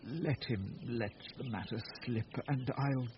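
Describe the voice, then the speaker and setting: An actor playing a cowardly, foolish gentleman knight, whining and petulant in a Shakespearean radio comedy. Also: mid-range voice, measured pace, natural voice. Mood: frightened, petulant. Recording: dull noisy radio recording.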